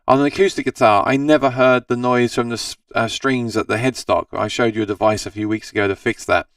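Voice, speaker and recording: A man with a tired, scratchy voice hosts a casual live chat about guitars, rambling from one point to the next and often restarting his sentences. His tone is gravelly yet smooth underneath, and the sound is dry, sitting a little back from the microphone. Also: medium pitch, fairly even intonation, brisk, dry recording, far from the mic. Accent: Australian. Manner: rambling, self-correcting, chatty. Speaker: host of a live gear Q&A stream. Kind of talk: casual live-stream chat answering viewer comments.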